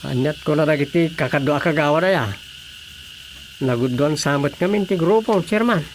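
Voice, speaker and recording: Man acting meddling Filipino village inspector, high male voice, officious, radio drama comedy, faint ambience behind. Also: normal speed, slight background noise, close to an everyday voice. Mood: matter-of-fact.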